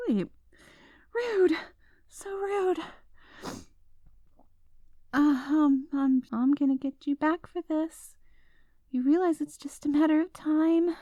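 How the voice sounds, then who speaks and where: A woman acts out a stubborn, overtired writer girlfriend in an ASMR roleplay. Her voice is high and unhurried, recorded close and dry. She rambles and protests with dramatic indignation. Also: warm tone, dry recording, muffled recording. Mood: stubborn, flustered.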